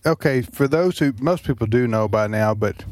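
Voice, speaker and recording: A man's voice: medium pitch, moderate pace, darkish tone, no echo. A male radio host talks through the news in a searching way, full of uhs and false starts. His voice sits mid-range and close, with a dry studio sound. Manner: hesitant, lots of uh and restarts. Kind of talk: talk radio chat.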